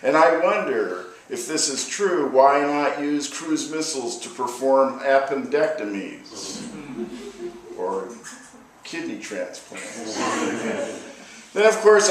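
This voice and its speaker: American male poet, mid-pitched voice, deliberate delivery, live reading, heavy room echo. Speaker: poet reading own work. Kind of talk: live poetry reading with informal spoken introduction. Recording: echoey live room, distant microphone.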